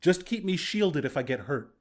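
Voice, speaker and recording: A man's voice: on the high side, big pitch swings, normal speed. A man playing a battle-hardened resistance commander in a video game, plain-spoken, protective and reassuring. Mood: friendly, advising. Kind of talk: video game character voice lines.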